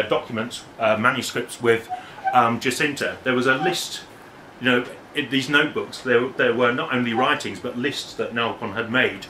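A man's voice: mid-range voice, sharp tone, a little hiss or hum. A man in his forties, an academic, reading aloud at a book launch, with hesitant, self-correcting asides between passages. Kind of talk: public reading with casual asides. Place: bookshop, indoors. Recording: roomy echo from far off.

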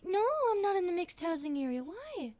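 A woman plays a nervous young grasshopper girl in an audio roleplay. Her voice is high and soft-toned, and she chatters hesitantly, full of self-doubt. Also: animated, a little fast. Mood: chatty, excited. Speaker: roleplay voice actress. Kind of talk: ASMR roleplay acting.

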